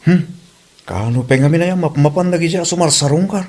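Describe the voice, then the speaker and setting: A man's voice: fairly high pitch, a little fast, darkish tone, close to an everyday voice. A man playing a plain-spoken, grumbling village husband in an amateur comedy drama, with a Filipino Ilocano accent.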